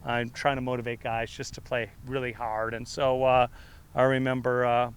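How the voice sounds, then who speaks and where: A middle-aged man, a head football coach with a loud voice, answering reporters in a loose, rambling way full of fillers and restarts. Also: medium pitch, little pitch movement, moderate pace, clear, resonant tone. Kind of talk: press conference answers.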